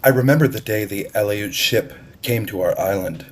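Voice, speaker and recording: A man narrates a storybook in a slightly low voice with a husky edge. He reads the prose aloud as an audiobook reader would. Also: moderate pace.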